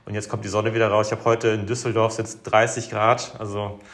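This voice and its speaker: A man with a fairly low voice hosts a casual interview podcast. He speaks informally and gives encouraging responses. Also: little pitch movement, brisk, a bit bright. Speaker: podcast host.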